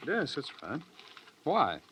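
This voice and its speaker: An actor playing a guarded, coolly polite gambling syndicate suspect in a 1950s radio drama, unhurried and challenging. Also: male voice, on the high side, huge pitch swings, pushed and bright, a little hiss or hum, heavily acted.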